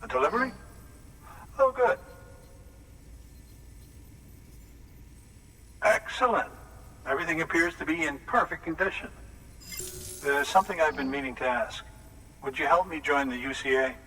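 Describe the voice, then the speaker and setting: Lively, earnest ageing doctor. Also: male voice, a little high, brisk, pauses often, a little hiss or hum, rough recording. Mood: pleased. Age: older. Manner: polite, earnest, expressive. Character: earnest ageing male doctor, grateful and eager to help. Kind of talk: video game voice acting.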